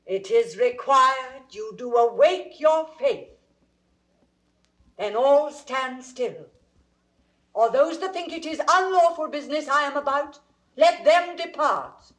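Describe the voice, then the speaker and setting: A woman's voice: high-pitched, lively intonation. A British woman acting a commanding, solemn noblewoman in classical Shakespearean audio drama, stately and incantatory. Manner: stately, incantatory, deliberate phrasing.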